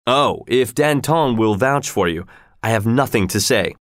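A man's voice: medium pitch, lively intonation, a little slow, fairly bright tone, no echo, clean studio sound. Man voicing a stern commanding guard sergeant. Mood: brisk, satisfied. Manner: commanding, challenging. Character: stern male leader of citizen guards, suspicious sergeant.

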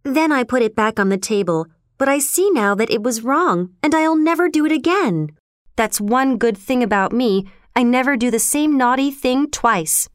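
An excitable, earnest little girl from a storybook, acted out by a woman. Her voice is high and clear, with a breathless, dramatic gush. The sound is dry and close. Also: moderate pace, dry recording, close to an everyday voice. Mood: sheepish. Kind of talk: storybook character voice.

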